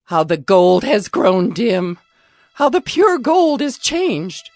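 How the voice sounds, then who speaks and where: A woman's voice: mid-range voice, sing-song, takes it slowly, darkish tone, dry recording, clean studio sound, faint music behind. A woman playing a grieving mourner of her ruined city and people, in a solemn, poetic dramatized scripture reading. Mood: mournful lament.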